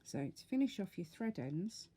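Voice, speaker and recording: A woman's voice: medium pitch, big pitch swings, normal speed, dark tone, husky. A woman giving a casual, step-by-step craft tutorial, talking informally and unhurriedly as she works.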